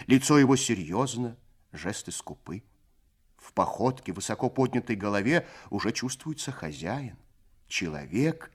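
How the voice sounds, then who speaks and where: An actor narrating a Soviet radio play in a lyrical, literary way, with measured, expressive phrasing. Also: male voice, medium pitch, husky. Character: male storyteller, lyrical and unhurried.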